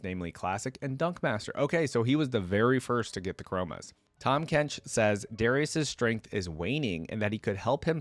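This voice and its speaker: Man, reaction host, lowish voice, dry close sound, casual read-aloud with offhand comments. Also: no echo. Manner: conversational, off-the-cuff asides while reading. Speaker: reaction video creator and host.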